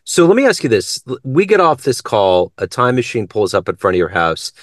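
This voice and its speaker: Mid-pitched man hosting a casual interview. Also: sing-song, fairly quick, dry recording, studio quality. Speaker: interview show host.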